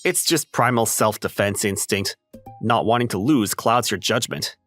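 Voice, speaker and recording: A man playing an ordinary, level-headed high school boy who narrates a manga dub story in a wry, casual way. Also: normal speed, fairly bright tone, faint music behind, natural voice. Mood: dry, modest. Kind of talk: scripted first-person story narration and dub acting.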